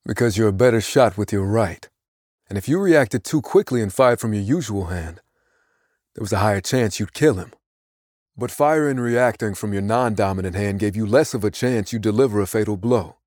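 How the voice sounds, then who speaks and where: A man voicing a mysterious disembodied helper on a phone, calm, precise and matter-of-fact, in an audio drama. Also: lowish voice, moderate pace, no room sound, clean studio sound, lightly acted.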